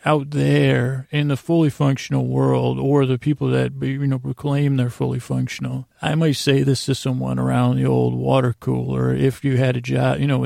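An American man with a creaky, gravelly voice hosting a podcast, telling a meandering bedtime monologue that keeps interrupting itself. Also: medium pitch, mellow, no room sound, clean studio sound. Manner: meandering, halting, self-interrupting, digressive. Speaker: podcast host.

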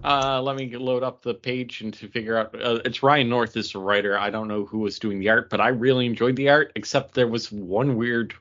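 Bright-voiced, enthusiastic man podcasting. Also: mid-range voice, steady tone, fairly quick, few pauses, lo-fi sound. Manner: enthusiastic, rambling. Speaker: podcast co-host. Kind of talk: casual comic book review chat.

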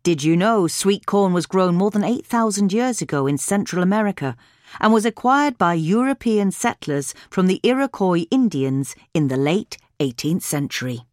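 A woman's voice: lowish voice, lively intonation, moderate pace, a little mellow, no echo, studio quality. A woman reading a scripted, instructional gardening article as a narrator. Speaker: reader of a gardening magazine article.

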